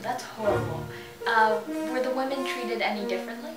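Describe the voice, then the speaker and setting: Woman as theatrical TV news host, formal scripted delivery, slightly high, off-mic in a roomy space. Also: a little fast, close to an everyday voice. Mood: formal, earnest. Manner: announcer-like, formal. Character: female television news magazine anchor, earnest and theatrical. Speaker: mock news host and interviewer. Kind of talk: scripted news narration parody. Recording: echoey room, distant mic, loud music.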